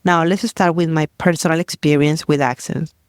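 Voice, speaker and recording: A woman's voice: deep voice, fairly even intonation, normal speed, smooth, dry recording, studio quality. A woman from Guatemala with a Guatemalan Spanish accent, speaking English as a second language, hosting a podcast as a Spanish language coach in an upbeat, encouraging way. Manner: upbeat and encouraging, drills words aloud. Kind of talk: solo podcast lesson.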